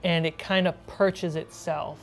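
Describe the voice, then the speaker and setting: Female game master, low register, narrating a tabletop adventure over soft background music. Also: fairly even intonation, unhurried, vocal fry.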